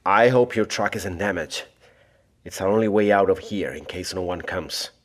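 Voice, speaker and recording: A male actor plays a stranded government doctor in an audio drama. His lowish voice is formal and precise, anxious and insistent, with a self-important edge. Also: moderate pace, lightly acted. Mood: alarmed, worried.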